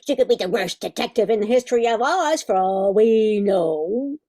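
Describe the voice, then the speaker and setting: A woman's voice: medium pitch, animated, moderate pace, mellow. A woman playing a skeptical Munchkin who questions everything, in an amateur radio comedy. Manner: skeptical, interrupting, repeats words.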